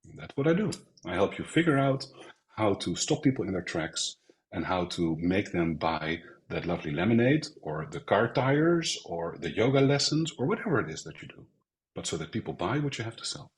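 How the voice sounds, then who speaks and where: Deep-voiced Dutch-born man, reflective. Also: very animated, a little fast. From Netherlands. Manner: reflective, storytelling with acted quotes. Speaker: guest, sales coach. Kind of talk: casual interview talk.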